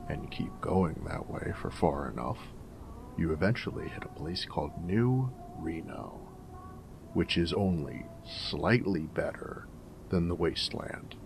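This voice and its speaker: A man playing a snarky but friendly ghoul companion in an audio drama, with a gravelly voice and a wry, joking drawl. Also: low voice, noisy background, exaggerated voice. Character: snarky, friendly male ghoul wasteland companion, weathered and irradiated. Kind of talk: character roleplay audio drama.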